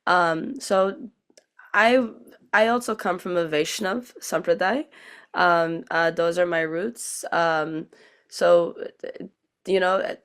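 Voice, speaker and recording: A woman from an Indo-Guyanese family in the United States, speaking earnestly and deliberately as a priestess giving a personal account on a panel. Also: lowish voice, fairly even intonation, a bit bright, clear, resonant tone.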